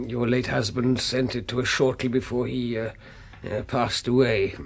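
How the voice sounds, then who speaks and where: An actor with a British accent playing a formal, officious, slightly hesitant family solicitor in a 1970s radio drama. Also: male voice, mid-range voice, little pitch movement, moderate pace, gravelly. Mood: formal, solemn.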